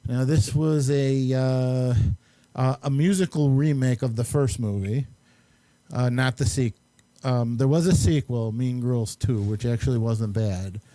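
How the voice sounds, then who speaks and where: A man's voice: medium pitch, steady tone, measured pace, warm tone, no room sound. A man hosting a casual chat and reviewing movies in a rambling, hesitant way. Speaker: host and amateur filmmaker.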